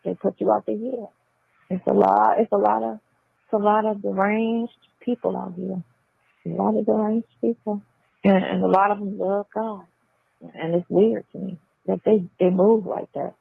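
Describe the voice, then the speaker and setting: Gravelly, husky woman on a tinny phone. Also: medium pitch, little pitch movement, moderate pace, dry recording. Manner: blunt, profane, repeats phrases for emphasis. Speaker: phone caller. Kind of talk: casual chat. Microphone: telephone. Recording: tinny, band-limited jail phone line.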